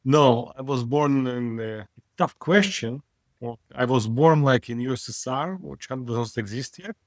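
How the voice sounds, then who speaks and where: Male CEO guest from Israel, frank and wry, mid-pitch, dry close sound. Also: dry recording. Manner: frank, wry, halting non-native phrasing. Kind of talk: casual interview talk.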